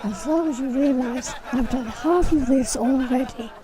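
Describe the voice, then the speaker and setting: A woman's voice: sits high, moderate pace, crowd noise, close to an everyday voice, rough recording. A woman playing a haughty, tipsy middle-aged saleswoman in a comic radio play, bossy and indignant.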